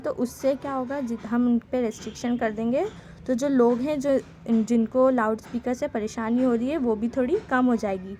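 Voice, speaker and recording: High-voiced Indian woman teaching. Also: dark tone, slight background noise. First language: Hindi. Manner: halting, slow word-by-word when reading English. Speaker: English teacher. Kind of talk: explanatory lesson, reading English text and explaining it in Hindi.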